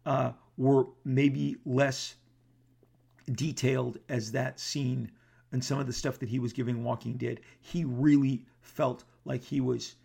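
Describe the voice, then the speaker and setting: Man, gravelly husky voice, casual rambling talk, stops and restarts a lot. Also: medium pitch, little pitch movement, measured pace, mellow. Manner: rambling, with many restarts and fillers. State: gravelly, clearing his throat. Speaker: comic book artist.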